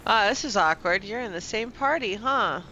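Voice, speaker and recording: Bright-voiced woman streaming a game. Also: medium pitch, lively intonation, moderate pace, a little hiss or hum, poor audio. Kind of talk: casual live gameplay commentary.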